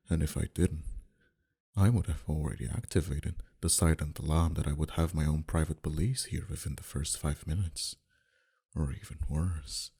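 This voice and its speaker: A man playing a smug, flirtatious and menacing mafia boss in an intimate ASMR roleplay, dominant and teasing, sometimes dropping to a breathy whisper. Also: heavily acted. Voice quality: very deep, dark, husky.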